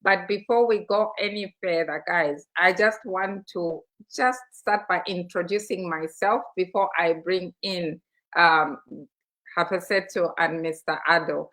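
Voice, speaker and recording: A woman's voice: on the low side, measured pace, fairly bright tone, low-quality recording. Persuasive woman from Zambia co-hosting. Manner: enthusiastic, persuasive, many fillers. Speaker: co-host. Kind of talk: live-streamed motivational talk show. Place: studio.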